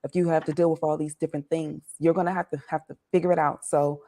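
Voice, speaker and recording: A woman in her forties from Washington, D.C., telling her life story as an interview guest in a measured, reflective way, often easing down softly at the end of a thought. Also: sits low, little pitch movement.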